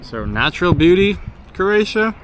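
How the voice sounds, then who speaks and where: A man's voice: sits high, very animated, unhurried, a bit bright. An American man chatting casually and unscripted to the camera as a travel vlogger, informal and rambling, full of slang. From United States. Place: outdoors walking through a city. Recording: clearly noisy outdoor city street with traffic.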